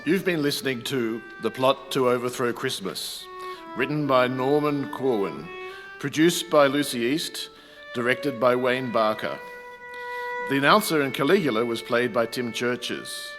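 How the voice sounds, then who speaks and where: A man's voice: mid-range voice, measured pace. A man working as a radio announcer, reading out closing credits. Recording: echoey hall with long reverb. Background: violin music.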